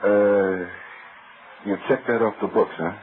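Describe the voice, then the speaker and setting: An actor playing a cynical, grudging hotel house detective, blunt and slangy with an American accent, in old radio crime style. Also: male voice, lowish voice, steady tone, slow. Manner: slangy, blunt, ungrammatical tough talk. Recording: thin muffled old radio recording with hiss.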